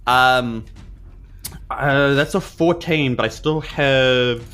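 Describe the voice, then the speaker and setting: A man's voice: mid-range voice, takes it slowly. A man chatting casually as a player in a tabletop game, joking and mocking himself. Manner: jokey, animated, self-mocking. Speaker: player in tabletop RPG podcast.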